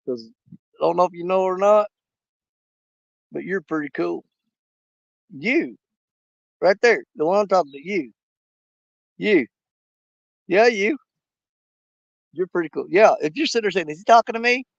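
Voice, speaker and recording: Fishing host, man, hoarse and gravelly, folksy rambling talk to camera outdoors, muffled dry mic. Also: high-pitched, expressive, unhurried, pauses often, no room sound. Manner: rambling, folksy, talks straight to viewers. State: hoarse, losing his voice. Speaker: fishing live-stream host. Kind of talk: casual live-stream chat. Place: outdoors at a small lake.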